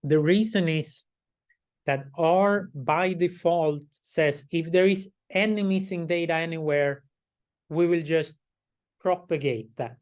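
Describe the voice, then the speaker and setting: A middle-aged man, hoarse and losing his voice, giving a class lecture and explaining each step slowly, often checking with "right?". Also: on the high side, a little mellow. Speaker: instructor.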